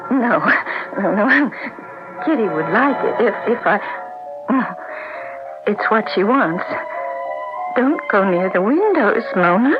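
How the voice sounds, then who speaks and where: An actress in a 1970s radio drama playing a fragile, claustrophobic woman who is unraveling, halting and repeating herself. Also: mid-range voice, very animated, music in the background. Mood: panicked, unraveling. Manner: halting, repetitive, muttering to herself. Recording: muffled old radio broadcast recording.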